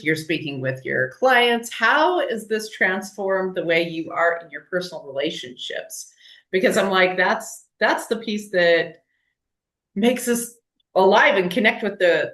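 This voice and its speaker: A woman's voice: medium pitch, fairly bright tone. A woman hosting a podcast, asking long, rambling questions and responding with effusive praise. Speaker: podcast host. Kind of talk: casual podcast interview.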